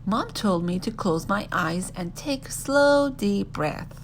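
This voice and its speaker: A woman reading a first-person children's story aloud in an expressive, animated storytelling manner. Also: mid-range voice, takes it slowly, a little hiss or hum, low-quality recording.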